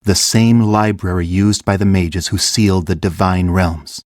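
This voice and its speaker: Kind fantasy king, lowish pitch, grave, measured, earnest, dry audiobook sound. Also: fairly even intonation, no echo. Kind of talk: full-cast audiobook acting.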